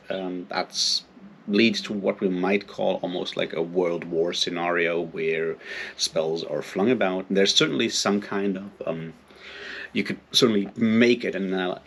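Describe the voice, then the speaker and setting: A man giving an informal, unscripted book discussion as a video host, halting as he thinks aloud. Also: deep voice, vocal fry, a bit bright, slight background noise.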